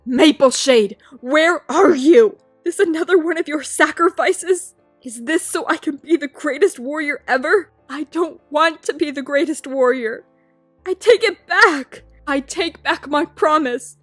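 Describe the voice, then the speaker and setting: Storybook tomcat warrior, read by a woman: desperate, cries out, high pitch, faint music behind. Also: measured pace, no echo. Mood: anguished yelling. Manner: pleading then yelling in anguish. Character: young male warrior cat, desperate and grieving.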